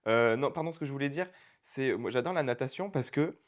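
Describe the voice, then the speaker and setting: A man's voice: mid-range voice, animated. A young man co-hosting a casual podcast, joking, rambling and teasing his friend, talking into a handheld mic. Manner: jokey, rambling, quick to tease. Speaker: podcast co-host. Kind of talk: casual chat.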